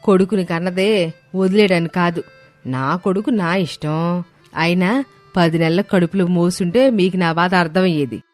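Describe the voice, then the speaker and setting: A woman voicing a scolding, possessive middle-aged Telugu mother-in-law in an animated moral story. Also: fairly low pitch, moderate pace, faint music behind, natural voice. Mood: bitter complaining. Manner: scolding, complaining, sarcastic.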